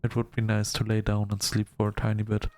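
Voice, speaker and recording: A man hosting a gaming video, reading story text aloud in a halting, measured storytelling delivery. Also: lowish voice, monotone, darkish tone, slight background noise. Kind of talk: let's-play narration, reading game text aloud.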